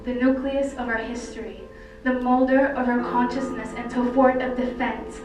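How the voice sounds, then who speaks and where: A woman from Cyprus performing slam poetry as a poet, with deliberate, impassioned phrasing and dramatic pauses. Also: a little high, level tone. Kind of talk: slam poetry recital, dramatic spoken word. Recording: very echoey, distant mic, loud music. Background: loud music.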